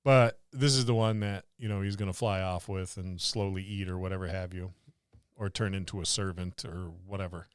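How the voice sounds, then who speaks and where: A man's voice: low-pitched, steady tone, normal speed, clear, resonant tone, no echo, studio quality. A man co-hosting a podcast, musing aloud in a casual discussion about tabletop gaming. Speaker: podcast co-host.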